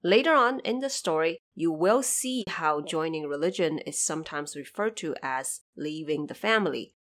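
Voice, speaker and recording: A woman hosting a podcast, giving explanatory, lightly scripted commentary in a measured, didactic way with emphatic phrasing. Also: deep voice, animated, a bit bright. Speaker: podcast host explaining background of an audio drama series.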